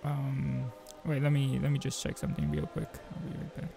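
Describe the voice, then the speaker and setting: A man with a gravelly voice reading a visual novel aloud as a game player, mixing narration with informal joking asides. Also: mid-range voice, very animated, normal speed, over music. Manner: informal, joking commentary between read passages.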